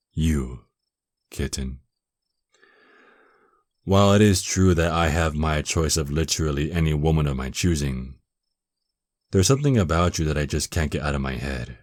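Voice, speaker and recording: Man playing a very deep, slow vampire lord. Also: darkish tone, dry recording, studio quality. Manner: slow, menacing, condescending, teasing. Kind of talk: ASMR roleplay acting.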